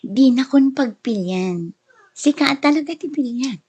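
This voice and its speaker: A woman's voice: high voice, lively intonation, measured pace, a little mellow, slightly rough, exaggerated voice. A woman playing a demanding, nagging young wife in an Ilocano audio drama, with a whiny, scolding, insistent way of talking. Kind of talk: Ilocano radio drama acting.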